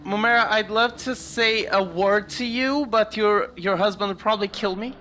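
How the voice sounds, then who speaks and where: A man's voice: sits high, moderate pace, pushed and bright, slight background noise. A man co-commentating a sim race on a live stream, playful and often laughing.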